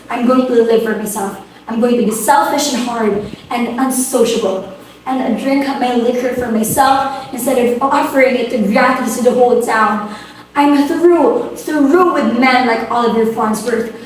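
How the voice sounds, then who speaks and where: A woman's voice: a little high, natural voice. A woman playing a flustered, imperious society hostess and mother in an amateur dramatic reading of a comic stage play, with a theatrical, exclamatory manner. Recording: very echoey room, distant mic, faint noise.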